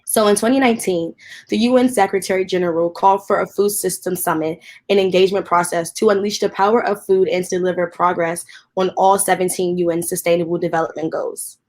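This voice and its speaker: A woman's voice: fairly low pitch, steady tone, quick pace, darkish tone. A young woman moderating an online youth panel and reading a prepared background introduction. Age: young adult. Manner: friendly, reads prepared background. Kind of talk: hosting a video-call panel.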